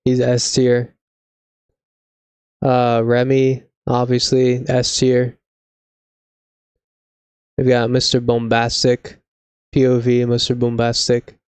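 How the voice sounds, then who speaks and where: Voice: medium pitch, completely flat delivery, slow pace, long pauses, darkish tone, smooth, no echo. A laid-back, slangy live streamer of unclear sex, chatting casually with mock seriousness. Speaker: video game live streamer.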